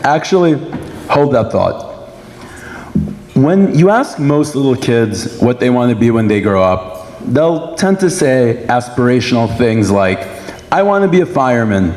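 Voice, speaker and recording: A man's voice: medium pitch, very animated, measured pace, darkish tone. Man giving a speech in an echoey hall. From Brooklyn, New York, United States. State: sore throat. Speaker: guest speaker giving a personal testimony. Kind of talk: prepared speech at a dinner event. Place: large indoor event hall. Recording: echoey hall with long reverb.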